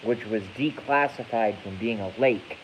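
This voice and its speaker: A man's voice: on the low side, measured pace. A man in his thirties from Massachusetts, ranting casually on a live stream in a rambling, profane way that often corrects itself. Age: 30s. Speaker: YouTube streamer. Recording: dull lo-fi with faint hiss.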